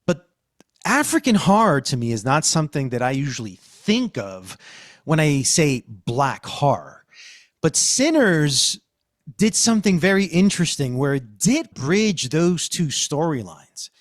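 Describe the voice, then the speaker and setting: A man with a fairly high voice hosts a podcast discussion. He speaks unhurriedly and emphatically, with very lively intonation, like someone talking through a review. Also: measured pace, no echo, studio quality. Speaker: podcast host.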